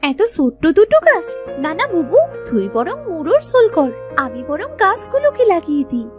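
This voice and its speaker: A woman plays a headstrong young village girl in a cartoon fairy tale. Her voice is extremely high and swings widely in pitch, with music underneath. She sounds childlike, pleading and insistent about what she wants. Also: sing-song, moderate pace. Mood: cheeky, insistent. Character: stubborn, food-loving younger village sister, a girl. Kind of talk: animated moral story voice acting.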